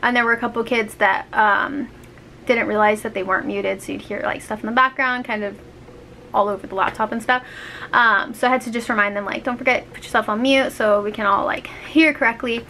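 A woman's voice: a little high, a little fast. A young woman in her twenties, a teacher chatting to camera in a small bedroom, filling her talk with "like" and "um", her voice a little scratchy and dry. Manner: chatty, lots of fillers, animated hands. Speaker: teacher vlogger. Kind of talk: casual chat to camera. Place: small bedroom with laptop, indoors. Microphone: handheld camera at arm's length.

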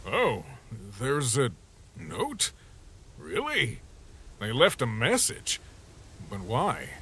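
A man voicing a brash, sarcastic younger skeleton brother with a husky voice in a comic dub, cocky and grumbling. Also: mid-range voice, wildly swooping pitch, very slow, pushed and bright, a little hiss or hum, exaggerated voice. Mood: surprised.

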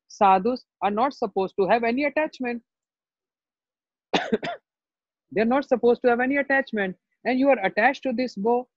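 A man plays a bold young prince with an Indian accent from a sacred tale. The voice is lifted high and husky, with cheeky, needling questions. The sound is echoey and roomy. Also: dull audio, full character voice.

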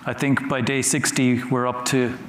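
A man giving a conference talk from a stage, conversational and halting, often repeating words as he goes. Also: medium pitch, level tone. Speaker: conference speaker. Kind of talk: conference talk with audience questions. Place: large conference hall on a stage. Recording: roomy hall sound with faint noise.

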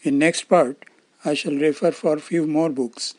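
An older man, around his seventies, with an Indian accent, giving scripted explanatory narration in formal, measured phrasing. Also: a little high, steady tone, a little mellow, soft background music. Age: older, around 70s. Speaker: presenter of loudspeaker-making videos.

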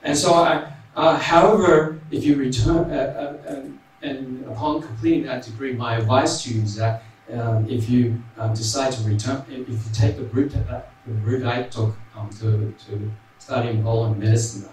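A man's voice: mid-range voice, steady tone, moderate pace, darkish tone. Australian man, panel answers, lots of ums, very echoey hall, distant. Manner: many ums and uhs, adds "right?". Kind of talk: reading prepared answers. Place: large hall. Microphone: handheld mic. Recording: very echoey hall, distant mic, faint noise.

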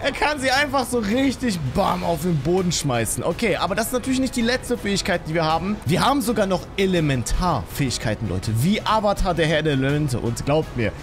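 A young man in his twenties with a high voice that swings widely in pitch, speaking. He commentates a video game excitedly, addressing viewers and his character in exclamations. He is close on a studio mic at home, with music behind him. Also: a little fast, few pauses. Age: 20s. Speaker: gaming YouTuber. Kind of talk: excited let's play commentary. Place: small home streaming room with acoustic foam, indoors. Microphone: studio microphone on boom arm, close.

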